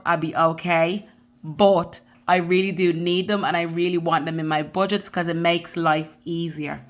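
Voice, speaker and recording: A woman's voice: fairly low pitch, a bit bright. A woman in her thirties from the Caribbean with a Dublin accent, chatting loosely and directly to her viewers as a budgeting vlogger. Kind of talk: casual chat to camera.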